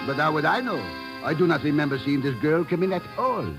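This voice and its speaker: A sly French bartender with a fairly high voice. He talks smoothly and evasively, as in an old radio play, on a dull recording with clear music behind. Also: lively intonation, fast. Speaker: actor in a radio drama. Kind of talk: old-time radio drama acting.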